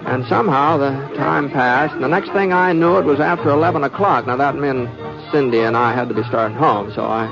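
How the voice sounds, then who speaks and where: A man's voice: mid-range voice, vocal fry, natural voice. A man playing a kindly, bashful frontier fellow with an American Western accent, in a homespun, hesitant old-time radio style. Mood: wry storytelling. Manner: homespun, hesitant, drawn-out delivery. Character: folksy, kindly, bashful frontier man telling his tale. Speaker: narrator and lead character. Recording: dull vintage radio recording with music.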